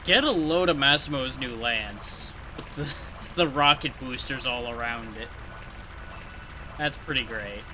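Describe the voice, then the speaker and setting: Man livestreaming over clear game music. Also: mid-range voice, measured pace, pauses often, low-quality recording. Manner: thinks aloud, explains game mechanics conversationally. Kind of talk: casual live gameplay commentary. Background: video game music.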